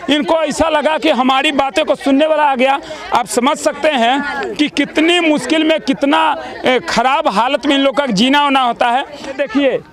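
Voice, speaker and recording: A man with a Bihari accent reporting from the field in a village, halting as he searches for words. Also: extremely high pitch. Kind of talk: on-the-spot news report and interview. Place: outdoors in a village settlement. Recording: outdoor street, people talking around.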